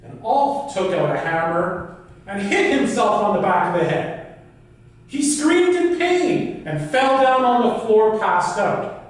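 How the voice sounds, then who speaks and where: A man telling a folk tale as a live speech, with deliberate, theatrical pauses and emphasis. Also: sits high, very animated. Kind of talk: animated live storytelling speech. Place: indoor club meeting room. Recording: very echoey room, distant mic, faint noise.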